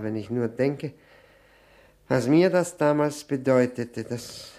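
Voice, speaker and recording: Stammering, servile, nervous widower. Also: male voice, mid-range voice, a little mellow. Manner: stammering, halting, repeating himself. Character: nervous, servile, self-abasing hard-drinking widower. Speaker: actor in a radio drama. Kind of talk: 1960 German radio play acting. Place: radio drama studio.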